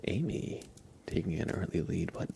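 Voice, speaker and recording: Male ASMR streamer, low gravelly register, whispered delivery, announces games with mock drama. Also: lively intonation, normal speed, mellow, a little hiss or hum. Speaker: live stream host. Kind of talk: ASMR live stream commentary, mostly whispered.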